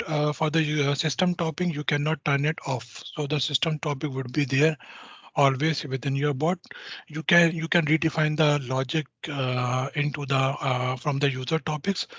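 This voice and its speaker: A man giving a technical software walkthrough to a user group, halting and full of restarts and fillers. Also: fairly high pitch, dry recording, highs cut off.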